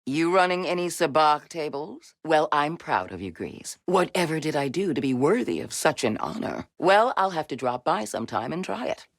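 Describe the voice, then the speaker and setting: Wise, resolute female Jedi mentor for a sci-fi game: low, measured, recorded very dry. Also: animated, no room sound. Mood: composed. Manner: measured, deliberate, earnest delivery. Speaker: video game voice actress. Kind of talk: cinematic game dialogue acting.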